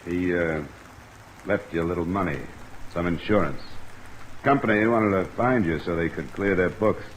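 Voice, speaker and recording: An American actor playing a cool, dogged insurance investigator in an old radio detective drama, with a clipped, firm, probing delivery. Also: male voice, low voice, moderate pace, lightly acted, rough recording. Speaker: lead actor and narrator. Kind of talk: 1950s radio detective acting. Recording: old radio recording with rain noise. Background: steady rain.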